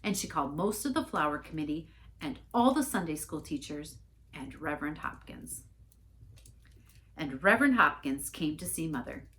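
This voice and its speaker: A woman reading a storybook aloud in an expressive narrating style. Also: low-pitched, moderate pace. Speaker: story reader, church staff member.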